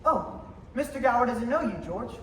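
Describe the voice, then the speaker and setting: A young man playing a gentle, innocent, centuries-old guardian angel in a high school stage radio play, explaining things earnestly. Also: expressive, moderate pace, slight background noise. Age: young adult. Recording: distant echoey theater recording with music.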